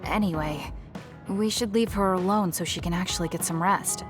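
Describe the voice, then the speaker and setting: A woman voicing a level-headed young woman in a video game, speaking calmly and directly. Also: lowish voice, moderate pace, lightly acted. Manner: calm and direct. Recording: music under the dialogue.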